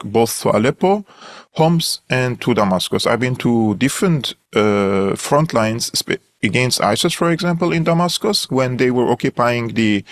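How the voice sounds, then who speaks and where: A young man from Syria with a Syrian Armenian accent, a guest analyst telling his story earnestly in an interview, with many fillers and restarts. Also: medium pitch.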